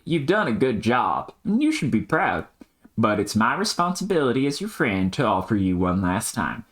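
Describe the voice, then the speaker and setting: A man voicing an aloof, pedantic artificial intelligence in amateur scripted dialogue, deadpan and brusque, with wordy, technical phrasing. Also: fairly low pitch, close to an everyday voice.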